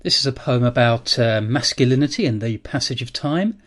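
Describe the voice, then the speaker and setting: A man's voice: medium pitch, lively intonation, moderate pace, soft background music. Dry man in his forties. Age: 40s. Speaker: guest poet. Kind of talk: reading comic rhyming poems.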